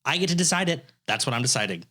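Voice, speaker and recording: A man in his twenties co-hosting a podcast, teasing his co-host in casual banter and often breaking into high laughter. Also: very animated, racing, pushed and bright. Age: 20s.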